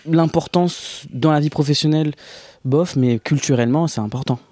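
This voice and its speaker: A man in his twenties from Réunion, a podcast guest speaking casually and hesitantly with many pauses. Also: fairly high pitch, mellow, no room sound. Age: 20s. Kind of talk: casual conversation.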